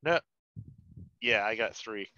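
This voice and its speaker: Bright-voiced man playing a tabletop game. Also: lowish voice, slow, muffled recording. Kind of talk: casual game chat.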